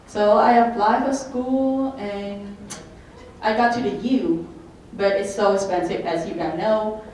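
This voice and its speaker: A young woman student on a panel, speaking plainly and haltingly into a handheld mic in a meeting room. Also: medium pitch, fairly even intonation, darkish tone. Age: young adult. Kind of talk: panel talk. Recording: echoey room from far off, faint noise.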